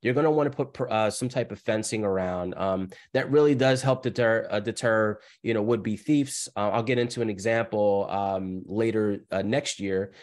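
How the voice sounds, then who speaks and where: A man with a cold, sounding scratchy, presenting as the guest speaker on a webinar over a video call in a brisk, upbeat way. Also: lowish voice, a little mellow.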